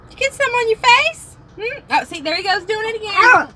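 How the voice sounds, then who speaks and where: A woman's voice: very high voice, animated, normal speed, a crowd in the background. A woman chatting casually and teasingly as a co-driver in a truck cab. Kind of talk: casual chat.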